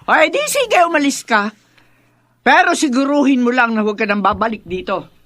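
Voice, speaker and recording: Stern, bitter mother, bright forceful voice, very animated pitch, radio melodrama. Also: normal speed, dry recording. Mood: bitter, angry. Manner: scolding, bitter, curt. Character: angry, stern mother disowning her daughter. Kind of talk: melodramatic radio drama acting.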